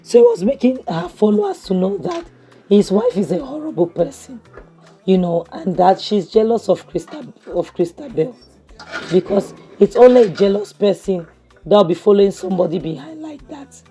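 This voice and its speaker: A woman, hoarse from a cough, chatting through a casual review of a drama episode at home, opinionated and full of restarts. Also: medium pitch, very animated, normal speed, warm tone, soft background music. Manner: chatty and opinionated, many restarts and "you know". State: hoarse from a cough, losing her voice. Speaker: series review vlogger. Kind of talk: casual spoken review recap. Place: home, near a kitchen appliance.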